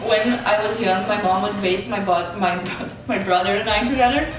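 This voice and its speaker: A woman's voice: mid-range voice, normal speed. A woman in her twenties chatting casually as a guest while eating. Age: 20s. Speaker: guest friend in a vlog. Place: indoor room at a table. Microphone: camera a few feet away. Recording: very echoey room, distant mic, music behind.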